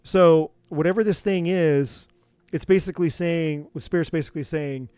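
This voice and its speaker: A man doing a live tarot reading, talking in an earnest, encouraging way with many repeats, his voice going a bit hoarse. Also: fairly high pitch, fairly even intonation, normal speed, a little mellow, no echo. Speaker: tarot reader.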